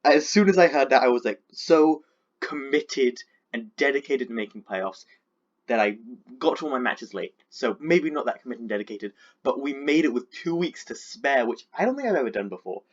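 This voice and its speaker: A man's voice: medium pitch, lively intonation, moderate pace, lo-fi sound. A man with a sore throat from being sick, chatting casually and rambling with lots of fillers as he breaks down his team. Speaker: competitive Pokemon league coach.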